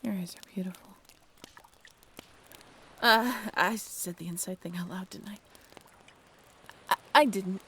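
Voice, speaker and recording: Girl voicing a soft shy mermaid lady, kinda hesitant n whispery, bit of vocal fry, audio roleplay, slight hiss. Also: medium pitch, a little slow, pauses often. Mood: flustered, shy. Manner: hesitant, soft-spoken, often dropping to breathy whispers. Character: shy, earnest half-mermaid woman confessing to old roommate. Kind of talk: intimate audio roleplay acting.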